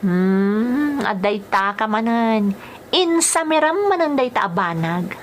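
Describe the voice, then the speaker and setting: A woman's voice: a little high, expressive, slow pace, a little hiss or hum. A woman with a Filipino accent acting an emotional domestic worker abroad in a radio drama. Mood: coy.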